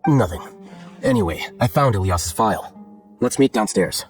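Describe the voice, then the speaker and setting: An actor playing a calm, composed, aloof young scholar in a video game, speaking in a measured, matter-of-fact, articulate way. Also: male voice, fairly low pitch, faint music behind, close to an everyday voice. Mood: calm, detached. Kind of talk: scripted video game dialogue.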